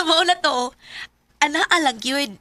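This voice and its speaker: A woman with a Filipino accent playing a gentle, earnest, lovelorn teenage schoolgirl in a radio drama. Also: high voice, expressive, slow, no room sound, exaggerated voice. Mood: helpful, teasing. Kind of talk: Philippine radio drama acting.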